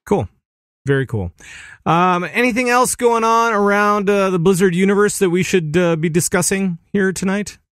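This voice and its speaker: Upbeat podcast host, slightly high voice. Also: male voice, moderate pace, a bit bright, dry recording, studio quality. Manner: upbeat, rambling with filler words. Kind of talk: casual podcast chat.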